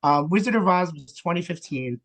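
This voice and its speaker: An American man chatting casually as an interview guest, animated and haltingly thinking aloud. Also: a little high. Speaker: actor, director and playwright, podcast guest.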